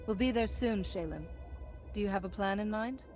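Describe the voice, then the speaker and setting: A woman's voice: medium pitch, normal speed, a little mellow, soft background music, close to an everyday voice. A woman voicing a composed, confident Jedi warrior in a sci-fi game, speaking firmly and formally. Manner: firm, formal, self-assured. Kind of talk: scripted game dialogue.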